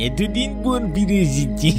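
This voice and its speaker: A man's voice: high voice, very animated, measured pace. A man voicing a vengeful, taunting male ghost in a Bengali horror cartoon, drawing out his words. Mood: taunting, menacing. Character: vengeful ghost of a dead friend, taunting, menacing. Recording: loud music under the voice.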